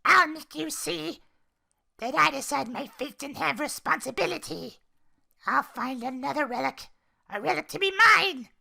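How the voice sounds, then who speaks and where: A podcast host voicing a bratty, power-hungry young princess, shrill and gravelly, declaiming theatrical verse. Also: moderate pace, pushed and bright, heavily acted. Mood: vengeful, gloating.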